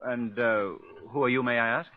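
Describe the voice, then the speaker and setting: An actor playing an urbane, unruffled, good-humoured gentleman detective in a 1940s radio drama. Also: mid-range voice, steady tone, normal speed, close to an everyday voice. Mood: puzzled, polite. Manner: easygoing, chuckling, polite. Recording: dull lo-fi 1940s radio recording.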